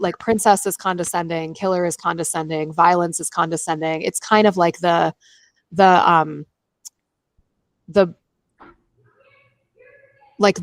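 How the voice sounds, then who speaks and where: A woman's voice: fairly low pitch, no room sound. A woman chatting casually as co-host of a book discussion podcast.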